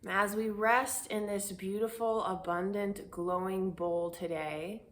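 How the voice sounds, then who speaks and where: Slow-speaking woman guiding meditation. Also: medium pitch, steady tone. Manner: soothing, unhurried, deliberate phrasing with pauses. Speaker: guided meditation and prayer host. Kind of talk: spiritual guided meditation.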